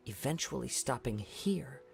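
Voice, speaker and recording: An actor playing a calm, perceptive young male swordsman in a video game, speaking in a measured, formal and thoughtful way. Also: mid-range voice, creaky. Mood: measured, analytical. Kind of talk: scripted video game dialogue. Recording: game music behind the voice. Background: background game music.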